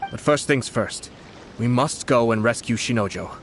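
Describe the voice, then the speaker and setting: A man playing a shrewd, unflappable young nobleman in an anime-style game, speaking in a measured, polite, formal way. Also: medium pitch, a little hiss or hum, natural voice. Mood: calm, resolute.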